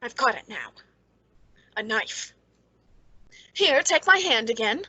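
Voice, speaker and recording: A cold, gleeful murderess played by a woman in a radio suspense drama. Her voice is high and bright with a slightly husky rasp, close and dry, and her delivery is theatrical, sliding between hushed and breathless. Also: big pitch swings, slow, no echo, exaggerated voice, rough recording. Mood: coaxing, menacing.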